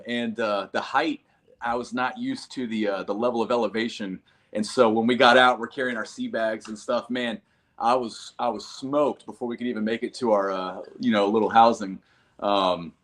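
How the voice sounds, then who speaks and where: A man's voice: fairly low pitch, fairly bright tone. A man in his thirties from North Carolina, a combat veteran talking casually and earnestly as an interview guest. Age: 30s. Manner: earnest, folksy, calls listeners man and brother.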